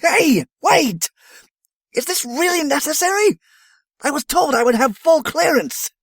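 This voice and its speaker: A man's voice: very high-pitched, expressive, unhurried, full character voice. A man playing a nervous, weedy scientist in an amateur audio drama, hesitant and timid, stammering as he talks. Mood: alarmed protest.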